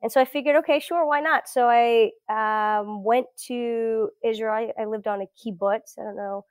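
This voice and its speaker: A woman's voice: on the high side, steady tone, moderate pace, clear, resonant tone, no echo. A woman in her thirties from South Florida, chatting as a guest in a casual interview, with many fillers and restarts. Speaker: guest researcher.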